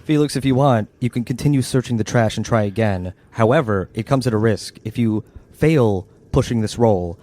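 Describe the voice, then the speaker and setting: A man running a tabletop game as game master, narrating scenes in a measured, descriptive way and refereeing the rules. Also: medium pitch, warm tone.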